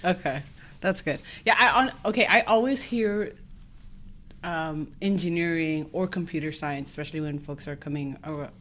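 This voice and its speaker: A woman hosting a podcast, in a casual interview chat, friendly, quick to laugh, with plenty of "um". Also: low-pitched, a bit bright, a little hiss or hum. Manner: friendly, laughs often, many fillers. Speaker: podcast host.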